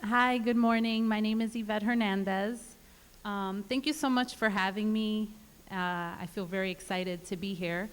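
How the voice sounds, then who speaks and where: A woman's voice: mid-range voice, steady tone, normal speed, a little hiss or hum. A woman from Puerto Rico, a nurse midwife, introducing herself in a friendly, personal way on a panel. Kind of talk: public panel talk. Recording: reverberant hall.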